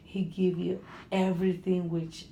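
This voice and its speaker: A middle-aged Honduran woman talks in slow, broken English, restarting her phrases and groping for words. Her voice sits low with heavy gravel and a soft, mellow tone. The room has a noticeable echo and faint background noise. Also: level tone. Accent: Honduran Spanish. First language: Spanish. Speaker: interviewee. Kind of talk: casual conversation.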